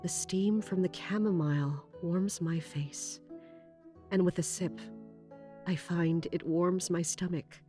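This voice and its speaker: Slow, reflective weary soul. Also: male voice, high voice, soft background music. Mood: calm, reflective. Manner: calm, drowsy, contemplative. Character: weary reflective soul seeking a quiet life. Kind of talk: first-person monologue in audio drama.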